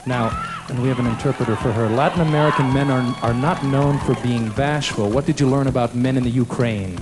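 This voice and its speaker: A man's voice: medium pitch, brisk. A man hosting a live televised pageant in a large indoor arena, interviewing contestants in an upbeat way. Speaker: pageant host. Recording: roomy arena sound with loud music and crowd.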